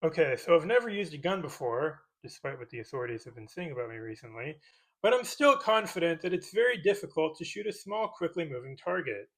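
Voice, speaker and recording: A man with a fairly high voice hosts a scripted comedic commentary. He sounds sarcastic and pedantic, picking at each point as he reads. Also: sing-song, a little fast, poor audio. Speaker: host of a movie-criticism parody video.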